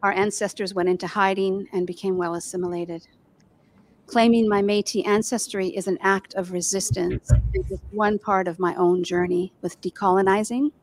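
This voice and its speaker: A soft-spoken woman in her sixties, hosting an event and reading a land acknowledgment from notes over a video call. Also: medium pitch, steady tone, normal speed, low-quality recording. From Canada. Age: 60s. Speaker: event host. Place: home room on a video call. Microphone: handheld mic at a video call.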